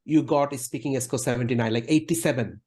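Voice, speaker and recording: A man with a mid-pitched voice, leading an interview. He speaks politely and at length, often restating what his guest has just said. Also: fast talker, dark tone. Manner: polite, summarizing and wordy. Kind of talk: casual interview.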